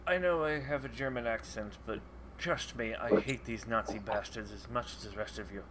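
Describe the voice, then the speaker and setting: A man murmurs quiet asides during a tabletop game. Clear background hiss runs under his voice from a noisy home mic. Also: mid-range voice, moderate pace. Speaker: player. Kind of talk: casual tabletop banter.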